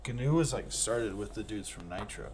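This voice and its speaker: A man's voice: fairly low pitch, animated, moderate pace, a little mellow. A man co-hosting a podcast, chatting casually in an informal, slangy way. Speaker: podcast co-host. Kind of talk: casual chat. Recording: faint background room noise.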